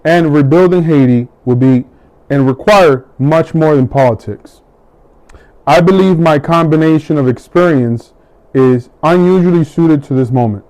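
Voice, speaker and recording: A middle-aged man from the United States giving a measured, deliberate campaign speech, pausing between each item he lists. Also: fairly high pitch, darkish tone, low-quality recording. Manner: measured, deliberate, with long pauses between list items. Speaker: political hopeful.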